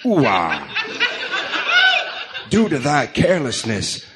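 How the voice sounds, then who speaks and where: A man's voice: mid-range voice, wildly swooping pitch, slow pace, very noisy, heavily acted. A man playing a delusional crime boss who believes he is Zeus, declaiming grandly in archaic words in an amateur audio drama. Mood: indignant, pompous. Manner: grandiose, theatrical, archaic diction.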